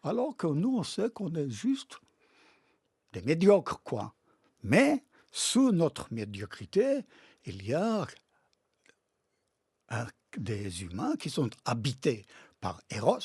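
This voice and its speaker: A man speaking with a foreign accent in a language not native to him, explaining ideas emphatically and haltingly as a radio interview guest. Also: on the high side, a little mellow, husky, dry recording, studio quality. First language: not French. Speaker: guest philosopher and author. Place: radio studio.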